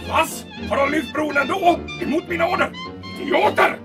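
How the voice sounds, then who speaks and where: An actor playing an outraged, blustering German military officer in a comic audio drama, exclaiming melodramatically. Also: male voice, very high voice, huge pitch swings, far from the mic, loud music behind the voice, heavily acted.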